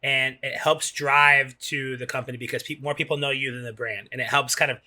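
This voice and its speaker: A man's voice: mid-range voice, steady tone, fairly quick, bright, forceful tone. A man hosting a podcast in casual chat, informal and circling back to restate his points. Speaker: podcast host.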